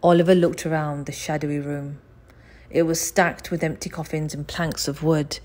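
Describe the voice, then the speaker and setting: A woman narrates a children's story from a script. Her voice sits low for a woman, with a mellow, warm tone, and she reads expressively as an audiobook narrator. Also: little pitch movement, normal speed. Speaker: audiobook reader.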